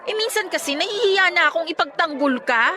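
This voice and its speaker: A woman's voice: very high voice, animated, a little fast, bright, forceful tone, heavily acted. A woman playing a candid, affectionate young girlfriend in a radio drama, blunt and questioning in a casual way. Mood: candid, concerned.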